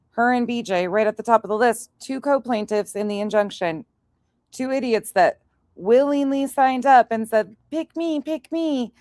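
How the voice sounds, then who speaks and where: A woman's voice: fairly high pitch, darkish tone, dry recording, clean studio sound. A woman commentating casually on a livestream and chatting with viewers, blunt, profane and scornful in manner. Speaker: livestream host commenting on played clips.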